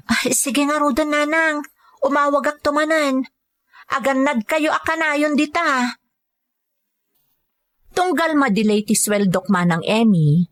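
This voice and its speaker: A woman's voice: mid-range voice, no room sound, natural voice. A woman with a Filipino accent playing a patient, dutiful young caregiver working abroad, in earnest, polite scripted drama. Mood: earnest, reassuring. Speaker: voice actor in an audio drama. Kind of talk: scripted drama dialogue and narration.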